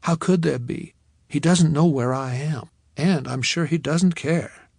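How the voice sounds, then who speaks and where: A man's voice: a little high, unhurried, vocal fry, darkish tone, breathy edge, dry recording, clean studio sound, exaggerated voice. A man voicing a frank, wry young Southern woman, with a faint Southern accent, in an audiobook reading. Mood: tender. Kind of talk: audiobook character voice.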